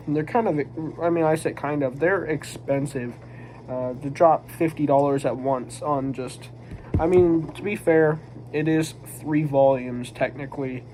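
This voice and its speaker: A man's voice: mid-range voice, warm tone, poor audio. A man with a stuffy, congested nasal voice giving a casual review, rambling and hesitant and often restarting his sentences. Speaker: manga reviewer. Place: home room with a squeaky office chair. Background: faint background noise.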